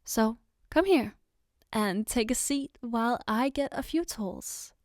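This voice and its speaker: A woman's voice: on the high side, lively intonation, measured pace, no room sound, studio quality. A woman playing a loving, reassuring and playfully teasing girlfriend in an intimate ASMR roleplay, with a tender, coaxing manner. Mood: gently teasing, caring. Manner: tender, coaxing, soft giggles between phrases. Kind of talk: ASMR roleplay, intimate one-sided dialogue.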